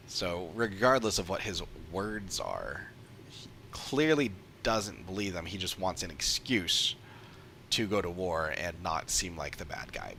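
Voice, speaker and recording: A man's voice: low-pitched, creaky, pushed and bright, slight background noise. A man giving casual, off-the-cuff livestream commentary as a game streamer, emphatic and repeating points for stress.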